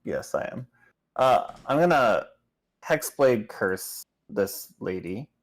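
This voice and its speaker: A man's voice: fairly low pitch, very animated, slow. A man playing a tabletop game, talking casually about his moves.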